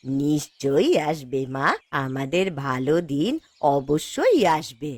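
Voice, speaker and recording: A woman voicing a poor, patient old grandmother in a fairy tale cartoon, speaking slowly and gently with a plaintive manner. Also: deep voice, sing-song, no echo, voice pushed down, full character voice. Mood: weary, hopeful.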